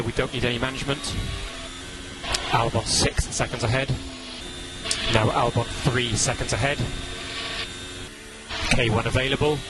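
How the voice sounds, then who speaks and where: A man working as a race engineer, giving brisk, clipped updates over team radio. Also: medium pitch, very noisy. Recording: radio over loud music.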